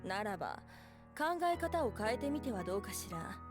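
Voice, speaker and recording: A woman's voice: mid-range voice, big pitch swings, very fast, music in the background, close to an everyday voice. A woman playing a haughty, irritated female fox spirit in a Japanese visual novel, speaking in a scolding, dismissive manner. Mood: haughty, teasing.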